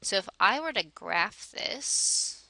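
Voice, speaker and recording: Slightly hoarse woman teaching math. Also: mid-range voice, expressive, slow, pushed and bright, no echo. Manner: methodical, talks through each step aloud. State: slightly hoarse, losing her voice. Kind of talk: step-by-step math lesson.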